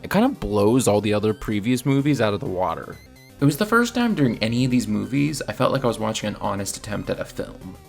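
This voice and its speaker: A man with a fairly low voice narrates a scripted film review. His delivery is casual and opinionated, with a gravelly creak, over faint background music. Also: sing-song, fast. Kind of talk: scripted video essay narration.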